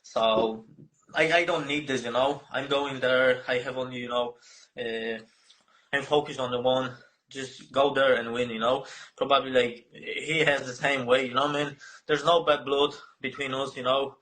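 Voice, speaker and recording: A man in his twenties with a Polish accent, a fighter answering interview questions in halting, broken English full of fillers and swearing. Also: mid-range voice, steady tone, fairly bright tone, low-quality recording. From Poland. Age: 20s.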